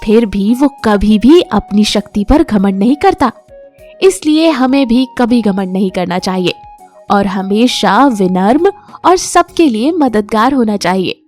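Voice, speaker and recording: A woman's voice: mid-range voice, expressive, unhurried, darkish tone, soft background music. A woman narrating a scripted moral story for children as a storyteller.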